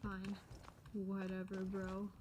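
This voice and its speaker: A woman's voice: medium pitch, completely flat delivery, slow pace. A woman doing casual, slangy gameplay commentary in laid-back, muttered asides. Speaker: gamer commentator. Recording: very noisy mic with clicking. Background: loud noise, clicks.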